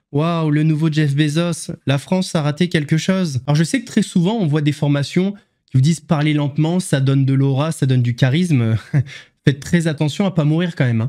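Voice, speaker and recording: Man, sarcastic commentary host, slightly high voice, mellow tone. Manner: mocking, ironic asides.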